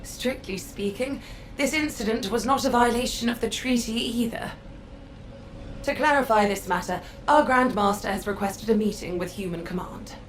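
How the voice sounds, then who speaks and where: A woman's voice: fairly high pitch, moderate pace, a little hiss or hum, close to an everyday voice. A woman playing a condescending council Magister in a video game, with a haughty, formal, clipped delivery. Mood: curt, firm.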